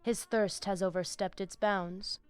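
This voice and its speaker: An actress voicing a cunning, imperious vampire plotter in a video game, solemn and grandiose. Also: female voice, medium pitch, steady tone, unhurried, clean tone, soft background music, lightly acted. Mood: scheming. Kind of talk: dramatic video game voice acting.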